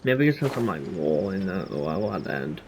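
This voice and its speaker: A man's voice: low-pitched, sing-song, fairly quick, slight background noise. A man giving casual live gameplay commentary as a streamer, repeating himself in off-hand reactions. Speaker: game streamer. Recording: game audio and music behind voice.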